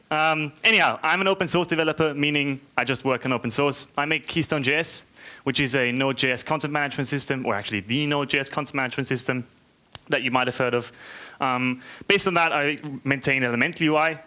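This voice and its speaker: A man's voice: mid-range voice, fairly even intonation, fast talker, bright, forceful tone. A man from Austria, giving an informal, chatty, joking tech conference talk and often checking in with "right?". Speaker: conference speaker, software developer. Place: conference room, live talk to an audience.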